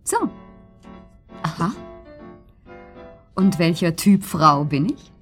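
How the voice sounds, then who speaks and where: A woman's voice: on the low side, slow pace, faint music behind. An actress playing a self-possessed, teasing young woman in a radio drama, dry and direct, with speech. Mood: teasing, wry. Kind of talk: German radio drama acting.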